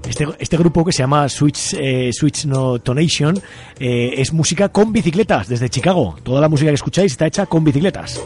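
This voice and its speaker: A man's voice: on the high side, animated, brisk, over music. A man with a Spanish accent from Aragon hosting a casual radio interview in an informal, colloquial way. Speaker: radio show host.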